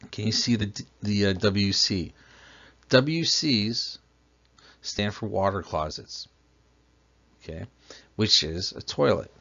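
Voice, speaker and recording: Low-voiced man teaching over slides. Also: slow pace, gravelly, pauses often, a bit bright. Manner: patient, explains step by step with questions. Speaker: plumbing instructor. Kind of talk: slideshow lesson narration. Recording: dull lo-fi voice-over.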